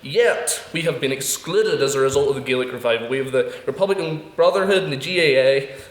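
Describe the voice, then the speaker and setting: A man's voice: medium pitch, expressive, fast talker. A young man with a Northern Irish accent giving an emphatic, combative speech at a student debate, firing off rhetorical questions. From Northern Ireland. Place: university debating chamber. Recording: echoey debating hall.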